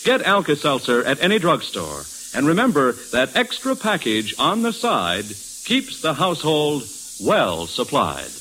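Male 1940s radio pitchman, animated intonation, emphatic sponsor read over light old-recording hiss. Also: unhurried, poor audio. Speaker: radio announcer reading a sponsor message.

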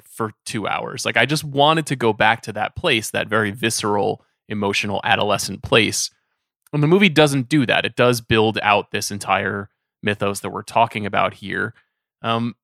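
A man's voice: medium pitch, fairly bright tone, no echo, clean studio sound. A man hosting a podcast in a casual, conversational style, talking things over with guests. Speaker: podcast host.

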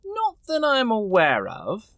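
A woman's voice: a little high, huge pitch swings, takes it slowly, a bit bright, no echo, clean studio sound, heavily acted. A woman playing a jolly, good-natured nephew in an audio drama, with a merry delivery. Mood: amused, teasing.

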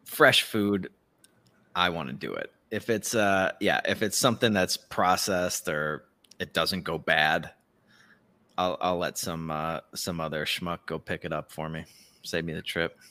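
A man's voice: low voice, measured pace, sharp tone. A man co-hosting a podcast with casual, sardonic political commentary and a profane, ranting manner. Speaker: podcast co-host.

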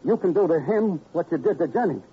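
Husky wisecracking crook, old radio drama. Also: male voice, on the high side, animated, a little fast. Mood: coldly persuasive. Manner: wisecracking, slangy tough talk. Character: cornered, bargaining male murderer with a gun. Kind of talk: 1950s radio crime drama acting. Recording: dull, muffled old radio recording.

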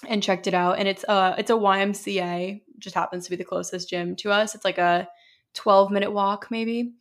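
A young woman co-hosting a podcast in casual chat, talking loosely with many fillers and a slightly hoarse voice. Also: mid-range voice, fairly even intonation, fairly quick. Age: young adult. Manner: chatty, lots of fillers. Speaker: podcast co-host.

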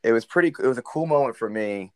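A young man, a country singer, telling a story in a relaxed interview with many fillers; he has a low baritone voice. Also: fast talker, no echo.

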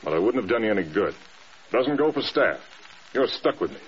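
An actor with an American accent playing a stern, blunt air force general in an old-time radio drama. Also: male voice, on the low side, sing-song, fairly quick. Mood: dry, brisk. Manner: commanding, clipped, blunt. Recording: dull old radio recording, clear noise.

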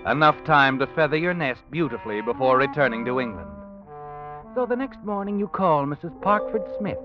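A knowing, dramatic narrator from a classic radio thriller, needling a schemer in a sly, accusing way. His fairly high voice moves through wide swings of pitch. Loud brass music plays behind it on a dull vintage recording. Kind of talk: old-time radio suspense narration.

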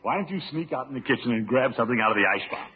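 A man's voice: medium pitch, lively intonation, rapid-fire, close to an everyday voice. A blustery middle-aged uncle, acted by a man in a classic radio comedy, pompous and fretful. His theatrical voice leaps up and down. The recording is tinny, with faint noise. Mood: exasperated, blustering. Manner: theatrical, exclaiming, exasperated. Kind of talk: 1940s-50s radio comedy acting. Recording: tinny, noisy old radio broadcast.

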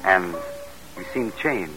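An actor playing a rich, courtly planter gentleman and smitten suitor, speaking formally in an old-time radio drama. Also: deep voice, animated, slow, poor audio. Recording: old radio broadcast with music.

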